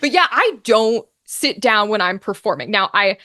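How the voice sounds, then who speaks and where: A woman's voice: medium pitch, animated, normal speed, bright, forceful tone, dry recording, clean studio sound. A woman speaking casually as an interview guest, talking in an emphatic, informal way as a voice actor and game master.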